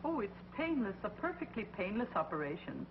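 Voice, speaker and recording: A woman's voice: medium pitch, very animated, brisk, noisy background, lightly acted. Siderine, a woman playing a cold alien tyrant. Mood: gloating. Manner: commanding, gloating. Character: power-hungry female alien ruler, cold and domineering. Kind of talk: 1950s TV sci-fi acting. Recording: dull old 1950s TV soundtrack, loud music behind.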